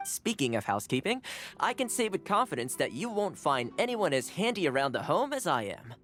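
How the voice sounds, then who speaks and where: An actor playing a gentle, courteous young man in a video game, speaking in a measured, encouraging way. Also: fairly high pitch, big pitch swings, faint music behind. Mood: warm, attentive. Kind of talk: scripted game character dialogue.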